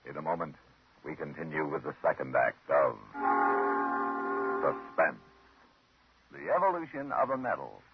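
A man's voice: extremely low pitch, sing-song, moderate pace, long pauses, over loud music. A man working as a radio announcer, reading a formal script in 1950s broadcast style. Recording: muffled old radio recording.

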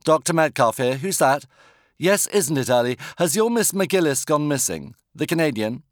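A man's voice: fairly high pitch, sing-song, moderate pace, dry recording, pitched above the performer's own voice. A man playing a brusque, sardonic woman doctor in an audiobook novel, curt and dry. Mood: crisp, irritated.

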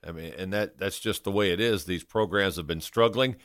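A man co-hosting a sports talk show, giving conversational analysis in measured, deliberate phrases. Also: sits low, fairly bright tone, no echo, clean studio sound.

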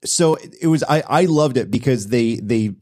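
A man's voice: mid-range voice, lively intonation, moderate pace, darkish tone. A man hosting a podcast in casual chat, enthusiastic, often stammering and restarting his sentences. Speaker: podcast host.

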